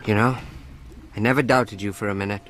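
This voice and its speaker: An actor with an Indian accent playing an eager, loyal young detective's assistant in a radio drama. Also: male voice, lowish voice, steady tone, brisk, a bit bright, a little hiss or hum, natural voice. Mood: friendly, teasing.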